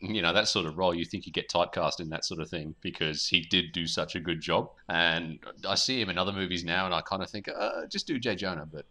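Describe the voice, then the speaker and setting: Low, very bright-voiced man bantering. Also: quick pace, few pauses, a little hiss or hum. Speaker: podcast host. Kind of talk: casual bantering chat.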